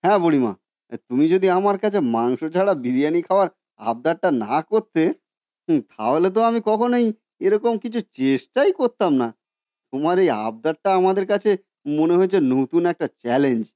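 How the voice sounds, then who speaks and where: A man acts out a village biryani cook for a cartoon story. He is eager, folksy and deferential, with a mellow, somewhat high male voice. The recording is dry. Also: lively intonation, fairly quick, no room sound. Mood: humble, proud. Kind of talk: Bengali cartoon story voice acting.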